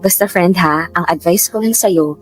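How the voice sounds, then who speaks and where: An actress playing a guarded, sensitive young Filipino woman in a radio drama, emphatic and quick to scold. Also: lowish voice, natural voice, rough recording. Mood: friendly, cautioning. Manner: emphatic, nagging. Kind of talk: Tagalog radio drama acting. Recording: music bed under dialogue.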